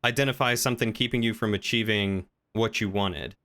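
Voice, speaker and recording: A man talking casually on a gaming livestream, chatty and playful, thinking out loud as he goes. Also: fairly low pitch, steady tone, a little fast.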